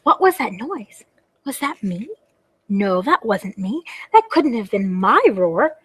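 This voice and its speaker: A woman voicing a little storybook dragon, boastful and easily startled, with grandiose drawn-out declarations. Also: mid-range voice, very animated, slightly rough, heavily acted. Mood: alarmed. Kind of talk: storybook read-aloud.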